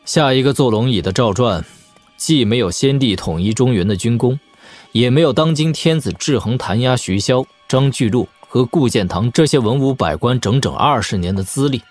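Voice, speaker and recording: An actor playing a shrewd, sardonic young lord in a martial-arts audio drama, expounding wryly at length. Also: male voice, medium pitch, lively intonation, moderate pace, a little mellow, natural voice. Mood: scornful, wry. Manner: wry, expounding at length, with snorts and sighs. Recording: music behind. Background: background music.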